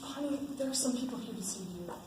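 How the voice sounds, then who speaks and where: A woman plays a subdued, consoling mother on stage. She sounds a little distant from the mic, in an echoey space with clear noise. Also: on the high side, fast, natural voice. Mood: grave, consoling. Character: gentle, grave mother comforting her grown daughter. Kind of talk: amateur stage acting.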